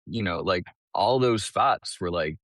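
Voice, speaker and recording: A man from the US East Coast tells personal stories as a podcast guest. His lowish voice is chatty and colloquial, peppered with "like", and recorded close and dry. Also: lively intonation, measured pace, dry recording. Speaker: podcast guest, small business owner. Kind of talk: casual personal storytelling.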